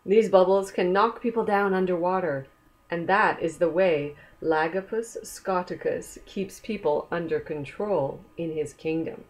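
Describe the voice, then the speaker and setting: A woman reading a children's book aloud as a storyteller, gently inviting young listeners along with questions. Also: lowish voice, measured pace, poor audio.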